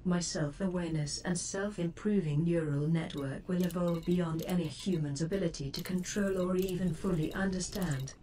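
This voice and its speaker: A woman voicing a self-aware female ship computer in a sci-fi game, polite and superior, speaking in formal, measured, articulate sentences. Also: on the low side, warm tone, lightly acted. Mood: cool, proud. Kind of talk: scripted game voice assistant lines. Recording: distant, with faint background noise.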